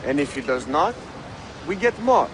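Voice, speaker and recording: An actor playing a commanding, determined male villain in a video game, with a forceful, impatient delivery. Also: a little high, sing-song, normal speed. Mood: eager. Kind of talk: video game cutscene acting. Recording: loud noisy outdoor game ambience.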